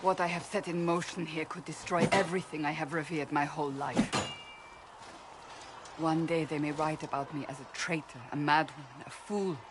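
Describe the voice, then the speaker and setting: A woman's voice: low voice, fairly even intonation, moderate pace, noisy background, lightly acted. An actress playing a stern, devout warrior woman in a fantasy video game, earnest and resolute, with formal phrasing. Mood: troubled, reflective. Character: stern, devout warrior woman, brash, duty-bound, troubled by doubt.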